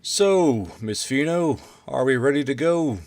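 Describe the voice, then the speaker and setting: A man voicing a gruff, sardonic human soldier in a story reading, growling and teasing. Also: mid-range voice, expressive, measured pace, darkish tone, no echo.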